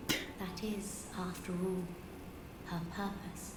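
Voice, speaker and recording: A woman voicing an ancient oracle being in a video game, giving grave, measured pronouncements, some of them whispered. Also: on the low side, little pitch movement, noisy background. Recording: game audio over clear music.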